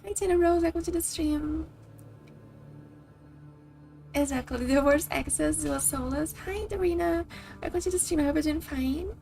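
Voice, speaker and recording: Woman, Brazilian accent, native Portuguese speaker, rambling stream chat, high voice, vocal fry. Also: moderate pace, long pauses, warm tone. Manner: chatty, rambling, many likes and kinda. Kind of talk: casual live-stream chat. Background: faint game music.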